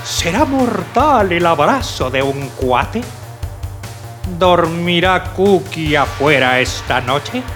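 A man announcing a radio serial's outro with dramatic, measured delivery. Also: on the high side, expressive, fairly bright tone, soft background music.

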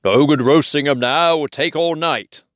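A man's voice: fairly high pitch, normal speed, a bit bright, no echo, clean studio sound, pitched above the performer's own voice, lightly acted. A man voicing an old male wizard in a storybook reading, sly and commanding, in a raised put-on voice.

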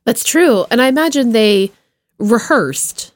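A woman hosting a podcast in a casual chat, with playful and expressive reactions. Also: fairly high pitch, measured pace, no echo. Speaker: podcast host.

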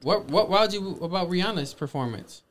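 A man's voice: sits high, animated, normal speed. A man co-hosting a podcast in casual chat, blunt and slangy, arguing his points and swearing freely. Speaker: podcast co-host.

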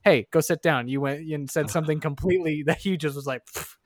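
Animated storytelling man, dry close sound. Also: a little fast, no echo. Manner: animated storyteller, mimics others with jumps in pitch. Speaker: podcast co-host. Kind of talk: casual chat.

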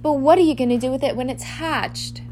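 Storybook role: clever schoolgirl, high voice, coaxing and persistent adult woman reading her. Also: a little fast, soft background music, close to an everyday voice. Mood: worried. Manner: flattering, pointed.

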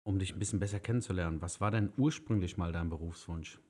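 A man's voice: low voice, mellow, slightly rough. A man in his thirties hosting a casual podcast, asking questions and slipping in teasing jokes. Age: 30s. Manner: chatty, teasing with quick jokes. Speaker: podcast host.

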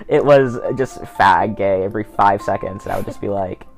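A man's voice: on the low side, moderate pace, vocal fry, faint music behind. A teenage male with an American accent, hosting a casual interview podcast with sarcastic asides.

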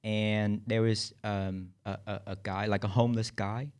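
A man's voice: lowish voice, flat delivery, measured pace, a little mellow, smooth. A young man hosting a podcast, a non-native English speaker chatting casually, often restarting his sentences and laughing through his stories. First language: not English. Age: young adult. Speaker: podcast host. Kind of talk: casual chat.

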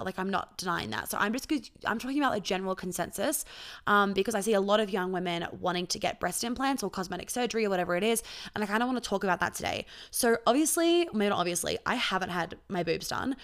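A woman's voice: mid-range voice, a bit bright. A young woman hosting a casual solo podcast as a coach, candid and chatty, swearing freely and filling her talk with "like". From born in Australia, raised in New York, Sydney and Melbourne. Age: young adult. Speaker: podcast host and intimacy coach.

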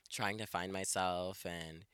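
A man in his twenties with a very low, bright-toned voice talks in a relaxed, reflective way, full of "like" and "you know". He sounds like a guest in a casual conversation held outdoors in a backyard, and the sound is dry. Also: slow, clear, resonant tone, dry recording. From Ethiopia. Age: 20s. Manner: relaxed and reflective, with many fillers.

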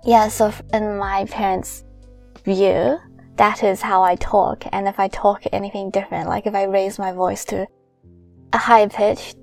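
A soft-spoken young woman whose first language is Chinese, co-hosting a casual podcast chat and laughing often. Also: medium pitch, level tone, a little slow, gravelly, soft background music. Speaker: podcast co-host.

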